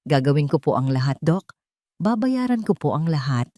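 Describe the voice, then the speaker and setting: A woman's voice: sits low, sing-song, normal speed, warm tone, no echo, studio quality. A woman with a Filipino accent playing a desperate mother, pleading and trembling, in an audio drama. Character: desperate young mother pleading for her sick son.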